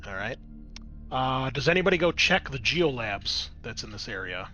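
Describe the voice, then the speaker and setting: A man's voice: medium pitch, sing-song, normal speed, pushed and bright, faint music behind. A man narrating a tabletop horror game as game master, improvising in a conversational, explanatory way.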